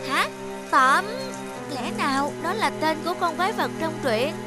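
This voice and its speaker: Woman voicing a high, jittery little cartoon animal. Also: normal speed, loud music behind the voice, close to an everyday voice. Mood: frightened. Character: timid young rabbit and other little forest animals, jittery. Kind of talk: children's cartoon dubbing.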